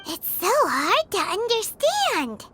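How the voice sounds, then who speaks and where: A woman voicing a small, chirpy, curious fairy companion in a video game, with a squeaky childlike voice. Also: wildly swooping pitch, slow, heavily acted. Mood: puzzled. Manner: exclamatory, perky. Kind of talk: video game character acting. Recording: background music under the voice.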